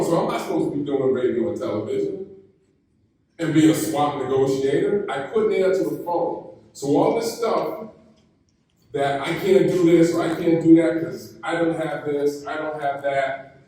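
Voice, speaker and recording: A man giving a graduation keynote, telling stories in a slow, deliberate way. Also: fairly high pitch, mellow. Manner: storytelling, slow deliberate delivery. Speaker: keynote speaker. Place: university ceremony hall. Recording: echoey hall, mic heard from a distance.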